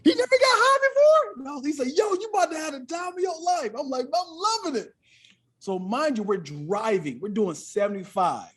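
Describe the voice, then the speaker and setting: A man's voice: very high-pitched, moderate pace. A man who is a comedian guesting on a podcast, talking in a casual comic storytelling style and acting out dialogue. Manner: animated, acts out quoted dialogue.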